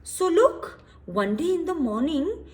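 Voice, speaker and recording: High, very animated woman storyteller. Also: unhurried. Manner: explanatory, asks questions, emphasizes and repeats. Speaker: children's storyteller. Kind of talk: expressive read-aloud for young children.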